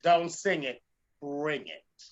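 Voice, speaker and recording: A man hosting a talk show as a comedian and drag performer, ranting emphatically in a theatrical way with a gravelly edge and repeating phrases for effect. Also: fairly high pitch, very animated, slow pace, highs cut off, a loud crowd. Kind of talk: animated talk show rant.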